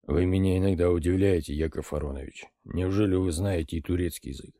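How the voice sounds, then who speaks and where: A man reading an audiobook, voicing a composed middle-aged intelligence colonel who reports in a measured, matter-of-fact way. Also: low voice, mellow, no room sound, clean studio sound, pitched below the performer's own voice, natural voice. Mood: even.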